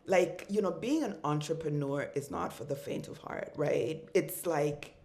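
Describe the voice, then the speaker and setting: A young woman from Congo, a fashion designer talking as a guest in a casual podcast interview, animated and full of "like" and "right?" fillers. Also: low-pitched, measured pace. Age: young adult.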